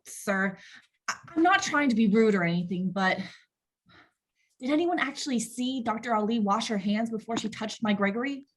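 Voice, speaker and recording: A woman acting an anxious, distrustful wife in a table read, with a husky voice. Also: fairly high pitch, animated, moderate pace. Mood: uneasy, suspicious.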